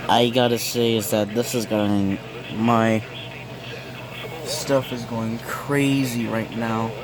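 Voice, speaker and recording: Man, casual vlog talk, halting, unhurried pace, mid-pitched, noisy recording. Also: level tone, crowd noise. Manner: halting, repetitive. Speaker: amateur vlogger filming weather radio alerts. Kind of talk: casual, unscripted vlog narration.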